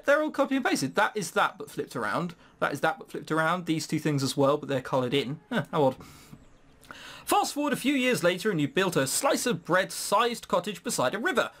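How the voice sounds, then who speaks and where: A man with very lively, swooping intonation gives casual let's play commentary as host. He reads on-screen story text and breaks off into sarcastic, incredulous asides. His pitch moves up and down a lot as he rambles and questions things. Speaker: host of a gaming video.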